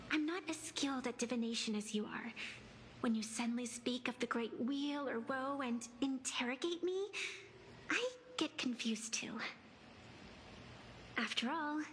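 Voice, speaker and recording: A woman's voice: high-pitched, a little hiss or hum. A woman voicing an earnest, youthful, strange created being in a video game, articulate and pleading.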